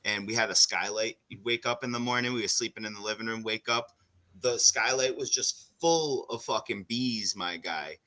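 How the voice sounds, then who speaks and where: A man's voice: fairly low pitch, moderate pace, pushed and bright, poor audio. A man chatting casually in a group, slangy and emphatic.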